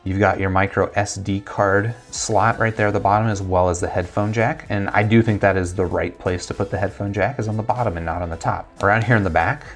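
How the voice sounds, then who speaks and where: An American man in his thirties talks casually through a gadget review. His voice sits low, picked up by a lapel mic in a small studio, with faint music behind him. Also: fairly even intonation, brisk, few pauses. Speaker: tech product reviewer. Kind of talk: casual unboxing review talk to camera.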